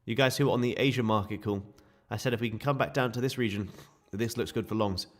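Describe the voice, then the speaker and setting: A man with a sore, croaky throat, casually walking viewers through live trading charts. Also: fairly low pitch, quick pace. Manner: casual, chatty explaining to viewers. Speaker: day trading streamer.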